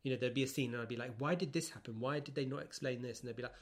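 A man's voice: mid-range voice, very fast. A man co-hosting a podcast, chatting casually with a bookish enthusiasm. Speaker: podcast co-host. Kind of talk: casual chat.

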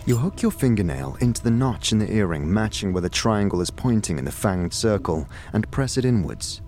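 A man narrating a scripted second-person audio drama in a deliberate storytelling manner. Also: lowish voice, animated, darkish tone. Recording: music behind the voice. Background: background music.

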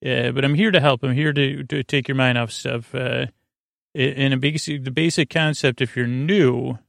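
A man hosting a bedtime podcast in a creaky, lulling voice, halting and stammering through meandering, goofy tangents. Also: mid-range voice, fairly even intonation, a bit bright, dry recording, clean studio sound. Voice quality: creaky, drawling. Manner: lulling, soothing. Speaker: host of a bedtime sleep podcast. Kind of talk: rambling, meandering bedtime monologue.

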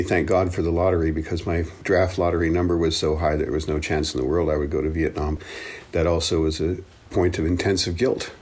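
A man's voice: rumbling low, darkish tone. A man in his seventies from the Congo, a native speaker of English and Swahili, reflecting on his life in an earnest, thoughtful interview style. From Belgian Congo. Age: 70s. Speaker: interview guest, African studies professor. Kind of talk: reflective personal storytelling.

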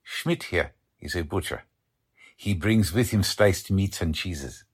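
A man playing a humble, kindly immigrant baker in a radio drama, with a halting, gentle way of repeating himself. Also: low-pitched, slightly rough, exaggerated voice. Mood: humble, earnest. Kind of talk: old-time radio drama acting.

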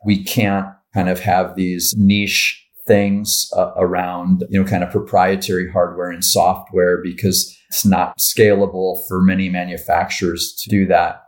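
A man speaks as a marketing director on a podcast, measured and businesslike, working through lists of technical terms. His voice sits low. His pitch barely moves. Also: flat delivery. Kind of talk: podcast interview answers.

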